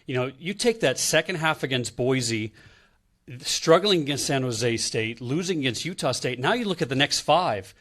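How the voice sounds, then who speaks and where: American man analyzing sports, mid-pitched voice. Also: expressive, moderate pace, a bit bright. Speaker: sports panelist.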